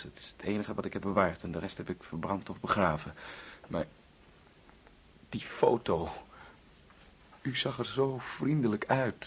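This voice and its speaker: A raspy, guilt-ridden young man. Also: on the low side. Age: young adult. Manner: halting, earnest. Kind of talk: radio drama acting.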